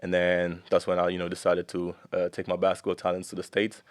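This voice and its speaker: Deep-voiced young man, relaxed and rambling. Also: fairly quick, no room sound. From Germany. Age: young adult. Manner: relaxed, rambling with many fillers. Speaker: guest. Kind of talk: casual chat.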